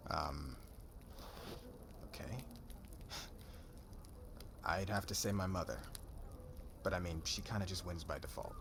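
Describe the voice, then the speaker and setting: A man's voice: low-pitched, takes it slowly, gravelly, long pauses, background noise, full character voice. A man playing a cocky young warrior suitor in an ASMR roleplay, with a slangy, brash, guarded way of talking. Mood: guarded, wry.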